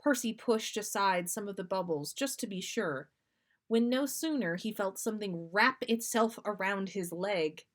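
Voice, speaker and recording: A woman's voice: mid-range voice, moderate pace. A woman narrating a story aloud as a podcast host, with dramatic, playful expression. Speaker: podcast host reading listener stories.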